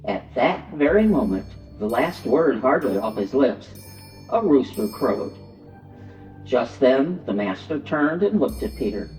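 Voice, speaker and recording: Animated male narrator in an echoey space. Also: mid-range voice, a little slow. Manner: expressive, acts out quoted lines. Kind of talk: dramatic Bible story narration. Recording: distant, echoey church hall, dull sound, music.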